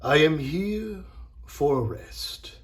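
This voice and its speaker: A man voicing a weary, reserved retired high-wire walker in a storybook read-aloud. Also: expressive, very slow.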